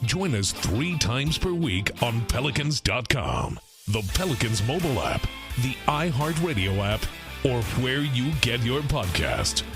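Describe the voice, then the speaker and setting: Animated male announcer over guitar music. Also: mid-range voice, measured pace. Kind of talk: scripted podcast outro.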